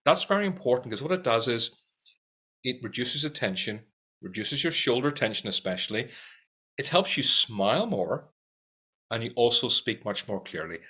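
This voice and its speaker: A man's voice: mid-range voice, very animated, moderate pace, sharp tone, breathy edge. A middle-aged man with a strong Irish accent, teaching practical tips in an upbeat talk to camera and repeating key points for emphasis. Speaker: sales trainer.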